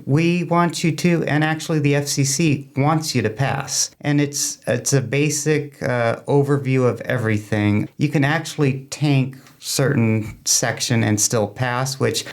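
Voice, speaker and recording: A man's voice: mid-range voice, unhurried, few pauses. A man talking casually as an interview guest, explaining through anecdotes and acted-out quotes. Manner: anecdotal, acts out quoted remarks. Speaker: interview guest, amateur radio club member.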